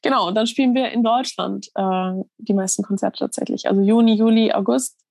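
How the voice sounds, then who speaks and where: This is a woman, a little hoarse, speaking as a musician guest in a relaxed interview. She talks earnestly, with plenty of fillers and restarts. Her voice sits at a middle pitch and sounds dry and close. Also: fairly quick, no echo. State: slightly hoarse. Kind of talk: casual interview talk.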